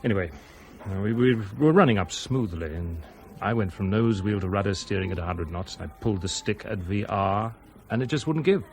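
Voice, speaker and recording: Low-voiced, defensive airline captain. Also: male voice, animated, fairly quick. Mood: tense, defensive. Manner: curt, indignant, insistent. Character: defensive male airline captain blamed for fatal crash, stubborn, agitated. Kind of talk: radio drama acting.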